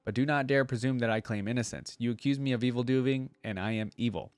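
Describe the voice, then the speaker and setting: A man's voice: medium pitch, fast, no room sound, lightly acted. A man voicing a small, pompous evil wizard who declaims grandly, as in a storybook reading. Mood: indignant, boastful.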